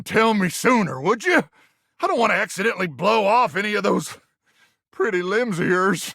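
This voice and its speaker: Gravelly, manic soldier microwave. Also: male voice, high-pitched, pushed and bright, no room sound, clean studio sound, heavily acted. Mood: apologetic, frazzled. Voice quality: gravelly, raspy. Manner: intense military bluster with awkward hesitations. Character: frazzled, paranoid soldier microwave, gung-ho and flirty. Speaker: video game character. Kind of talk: animated video game voice acting.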